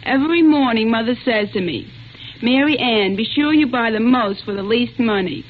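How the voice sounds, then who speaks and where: A woman's voice: on the high side, normal speed. A young woman giving an earnest, plain-spoken scripted testimonial in the style of 1940s radio. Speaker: speaker in a wartime radio food appeal. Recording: dull, noisy vintage radio recording.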